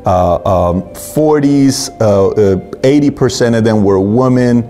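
A man hosting a conversational podcast interview, sometimes halting and repeating words as he forms his thoughts. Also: mid-range voice, mellow, soft background music. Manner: halting, repeats words while forming questions.

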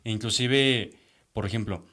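A man's voice: on the low side, sharp tone. A man, co-hosting a radio discussion and thinking aloud with fillers like "este" and "o sea". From Mexico. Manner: thoughtful, fills pauses with "este" and "o sea".

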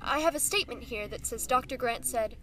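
A woman's voice: fairly high pitch, brisk, fairly bright tone, slight background noise, close to an everyday voice. An actress playing a persistent female reporter who questions an official in a probing, skeptical way. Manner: probing, skeptical, hesitant fillers. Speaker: journalist interviewer. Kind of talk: audio drama acting.

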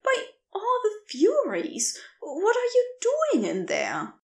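Woman voicing a flustered gentle man, pitched very high. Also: huge pitch swings, takes it slowly, echoey room, exaggerated voice. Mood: startled. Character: soft-faced, friendly, polite man, easily startled.

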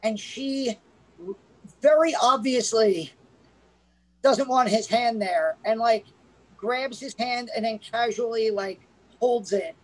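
A man's voice: extremely high pitch, slow, pauses often, low-quality recording. A man co-hosting a casual film review podcast, rambling with many restarts and fillers. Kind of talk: casual chat.

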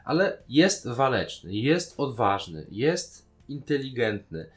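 Brief: male podcast co-host, ordinary mid pitch, informal conversational opinion delivery. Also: big pitch swings, slow. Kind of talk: casual opinion discussion.

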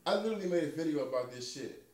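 Man, thirty, a bit high, rambling arguer, sits back from the mic in an echoey room. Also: expressive, very fast, a little mellow, distant mic. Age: 30s. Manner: rambling, argumentative, profane reasoning. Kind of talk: casual chat.

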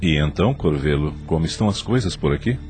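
A man playing a calm, commanding spirit who leads a rescue team in a radio drama. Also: a bass voice, quick pace, faint music behind. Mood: calm authority. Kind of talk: radio soap opera acting.

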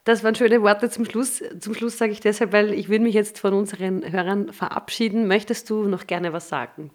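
A woman's voice: mid-range voice, steady tone, a little fast. A woman hosting a podcast interview, friendly and affirming with frequent laughter. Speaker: podcast host.

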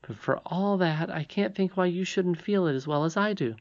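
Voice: medium pitch, normal speed, muffled recording, voice pushed up, lightly acted. A reader voicing a confident, insistent young princess with an earnest manner in a storybook.

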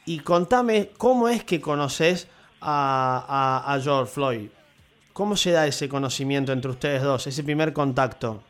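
A man interviewing a guest in a measured way, with drawn-out hesitations. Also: medium pitch, soft background music. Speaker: interviewer, radio host. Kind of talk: radio interview.

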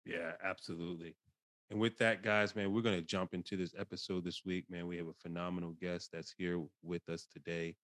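A man with a low voice, recorded dry and close with little room sound. He hosts casually, speaking conversationally to a guest and to listeners. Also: little pitch movement, fairly quick, fairly bright tone, no room sound. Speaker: podcast host.

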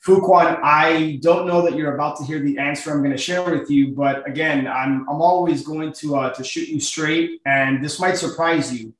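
Middle aged guy from miami guesting on a podcast, earnest casual storytelling, voice a bit high, super echoey. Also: fairly even intonation, normal speed, few pauses, a bit bright. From Miami, United States. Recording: very echoey, roomy sound.

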